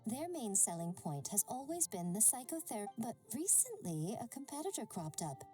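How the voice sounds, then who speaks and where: An actress playing a sly, teasing, elegant woman in an anime-style game, with coy, theatrical, drawn-out phrasing and airy condescension. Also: a little high, very animated, dark tone. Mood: sly, mock pity. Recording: music behind the voice.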